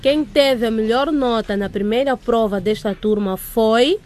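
A strict female headmistress, played by an actress with a fairly high voice. She addresses her class briskly and with authority, as in a radio drama. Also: very noisy, natural voice. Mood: strict, businesslike.

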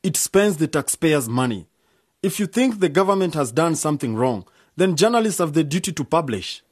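A man's voice: a little high, big pitch swings, moderate pace, breathy edge. A man playing a principled, questioning young debater in an educational radio drama. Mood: insistent.

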